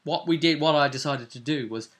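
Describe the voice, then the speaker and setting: A man's voice: fairly high pitch, brisk, a bit bright. A man recapping a tabletop game as its game master in casual chat, often checking for agreement with tag questions.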